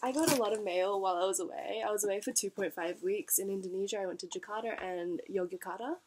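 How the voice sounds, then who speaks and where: A woman's voice: medium pitch, quick pace, background noise. A young woman vlogger, congested from a cold, chatting bubbly and casually while unboxing packages. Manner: chatty, bubbly, lots of fillers and likes.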